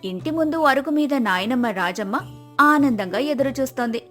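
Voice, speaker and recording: A woman's voice: high voice, animated, normal speed, a bit bright, faint music behind. A woman storyteller reading a scripted moral tale as narration.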